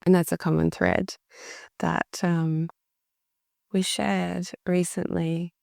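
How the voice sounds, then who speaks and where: Woman podcast host, voice deep and worn from overuse, slow and dark, reflective delivery. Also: flat delivery, no room sound, clean studio sound. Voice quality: deep, gravelly. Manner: slow, reflective, repeats words for emphasis. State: voice worn out from talking a lot. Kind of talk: solo podcast monologue.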